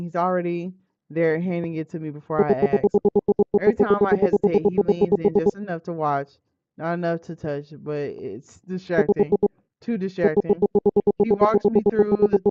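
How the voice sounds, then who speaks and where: A game streamer reading a visual novel aloud in an informal, expressive way, breaking into slangy asides. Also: mid-range voice, no room sound. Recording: muffled audio with music behind.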